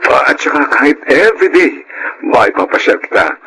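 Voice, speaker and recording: A man's voice: mid-range voice, very animated, normal speed, creaky, pushed and bright, heavily acted. A man playing a placating young father caught between his girlfriend and daughter in a Filipino radio drama. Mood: affectionate, coaxing. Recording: slightly noisy radio drama.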